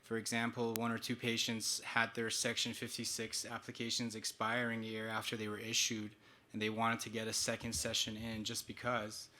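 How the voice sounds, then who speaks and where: A man answering as a clinician on a conference panel, measured and explanatory, with frequent fillers. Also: fairly low pitch, completely flat delivery, pushed and bright, a little hiss or hum.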